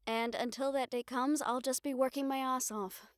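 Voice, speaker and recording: High-voiced wistful young girlfriend, acted by a woman. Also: dry recording, studio quality, lightly acted. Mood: hopeful, reassuring. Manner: halting, musing, expressive. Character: young female reporter girlfriend, wistful, bravely upbeat. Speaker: audio roleplay voice actress. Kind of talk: intimate audio roleplay acting, conversational monologue.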